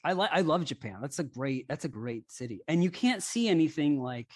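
A man's voice: fairly high pitch, animated, fairly quick. A man who grew up in Hawaii, chatting casually and telling rambling anecdotes with many restarts. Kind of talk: casual chat.

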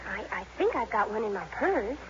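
An actress playing an excitable, bossy teenage high school girl in gushing 1950s radio drama style, on a muffled vintage broadcast. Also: moderate pace, noisy background, natural voice. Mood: puzzled. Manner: gushing, slangy, exclamatory.

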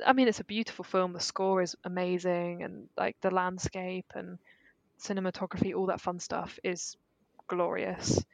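A woman's voice: lowish voice, no room sound, muffled recording. A woman co-hosting a podcast, chatting emphatically and casually about films. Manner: chatty, emphatic, quick to laugh. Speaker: podcast co-host. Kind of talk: casual film discussion.

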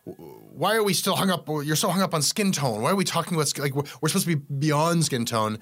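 A man's voice: on the high side, lively intonation, fast, a bit bright, faint music behind. A male interviewer asking probing questions, with many restarts. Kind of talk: interview conversation.

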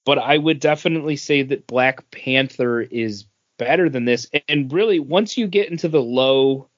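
A man with a mid-pitched voice chats casually as a podcast co-host. He rambles a little, repeating words as he works out his opinions. The sound is close and dry, slightly dull on top. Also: a bit bright, dry recording. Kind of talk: casual opinion chat about movie rankings.

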